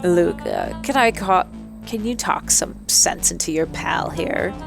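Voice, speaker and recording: A woman voicing a smiling, folksy man who is a quietly sinister villain in a video game, falsely reassuring in manner. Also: on the low side, a little slow, vocal fry, music in the background. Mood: falsely warm.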